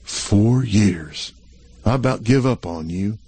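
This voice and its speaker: A man's voice: lowish voice, animated, slow, warm tone, breathy edge, exaggerated voice. A man reading an audiobook, voicing a guarded young ranch hand who talks in short, blunt rural phrases. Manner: blunt, clipped rural talk.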